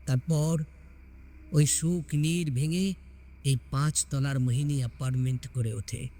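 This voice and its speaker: A male storyteller reads a ghost story with dramatic, scripted delivery. His voice sits a little higher than most men's. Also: dark tone. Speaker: storyteller narrating a horror story.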